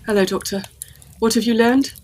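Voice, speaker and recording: An actress playing a polite, concerned young gentlewoman in a gothic audio drama. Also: a little high, steady tone, normal speed, natural voice.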